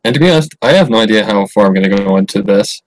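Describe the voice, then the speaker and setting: A man's voice: fairly low pitch, lively intonation, very fast, no echo. A man hosting a livestream and chatting casually while playing a game, giggling and reacting to the dialogue. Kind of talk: casual gameplay commentary.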